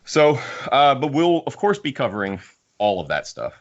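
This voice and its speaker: A man hosting a podcast, talking casually about books in a halting way with frequent restarts. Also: medium pitch, sing-song, dull audio. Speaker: podcast host. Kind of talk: casual discussion of sci-fi books.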